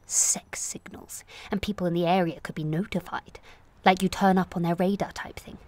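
A woman in her late twenties performing a confessional comic monologue in a candid, wry, self-questioning way, moving between confiding and animated. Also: on the low side, normal speed, in a whisper. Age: late 20s. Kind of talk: confessional comic audio monologue, present-tense narration.

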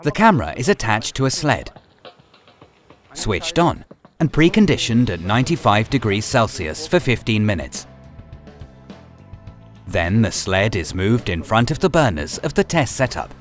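A man reading a scripted training film narration in a measured, instructional manner over music. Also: lowish voice, very animated.

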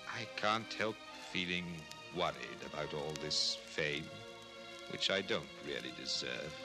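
A lonely composer confiding in a letter on a 1960s story record. He is solemn and low, taking his time over each phrase. Music plays clearly underneath. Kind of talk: 1960s children's record drama acting.

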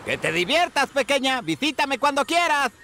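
A muddled, friendly seagull in a video game. His voice is bright and swoops wildly as he chatters exuberantly, with faint noise behind. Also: extremely high pitch, very animated, normal speed, exaggerated voice. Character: scatterbrained, friendly male seagull treasure hoarder. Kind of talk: animated game character acting.

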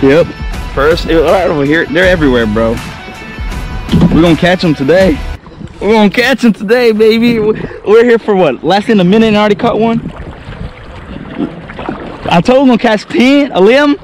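A man's voice: high-pitched, lively intonation, normal speed, pauses often, soft background music. A young man vlogging from a kayak on an open lake, talking casually and hollering when fish bite, recorded on an action camera. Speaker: fishing vlogger. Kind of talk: casual on-the-water commentary.

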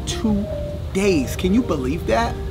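A man co-hosting a travel vlog, recapping a road trip in an excitable, chatty way and talking straight to viewers. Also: sits high, slow pace, music in the background. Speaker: vlog co-host. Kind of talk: casual recap chat.

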